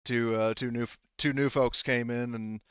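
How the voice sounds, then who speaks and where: A man's voice: medium pitch, level tone, moderate pace, smooth, dry recording, highs cut off, close to an everyday voice. A man playing a deferential security officer in a tabletop game, reporting informally and hesitantly to his boss.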